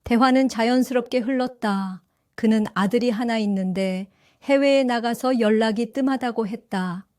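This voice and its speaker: A woman in her seventies narrating her own story for an audio drama, in a gentle, reflective and wistful way. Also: a little high, normal speed, no echo, clean studio sound. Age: 70s. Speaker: first-person narrator.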